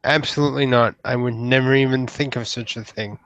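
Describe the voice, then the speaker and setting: A man's voice: medium pitch, fairly even intonation, brisk, fairly bright tone, close to an everyday voice, low-quality recording. A man playing an earnest, bashful young would-be knight in a tabletop game, speaking formally with hesitant stammers. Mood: guarded, disapproving.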